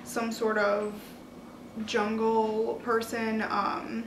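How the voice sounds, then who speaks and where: A woman's voice: fairly high pitch, drawn-out words, noisy background. A young woman in her twenties casually talking through a makeup tutorial with frequent fillers. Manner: casual, chatty, relaxed with frequent "um" and "like". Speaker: makeup vlogger. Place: living room with red couch.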